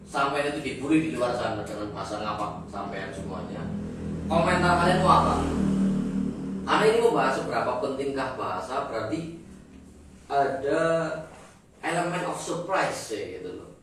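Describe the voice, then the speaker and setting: Young male moderator, animated, joking, prompting the others, echoey room, mic far away. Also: medium pitch, moderate pace. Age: young adult. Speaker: discussion moderator. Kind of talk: casual group discussion. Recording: echoey room, distant mic, faint noise.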